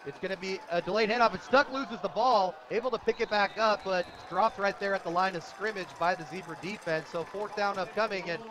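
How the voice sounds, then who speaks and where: An American man calling football play-by-play on the radio in an excited, emphatic manner. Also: sits high, fairly quick, few pauses. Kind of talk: live American football commentary. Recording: outdoor stadium broadcast, heavy background noise.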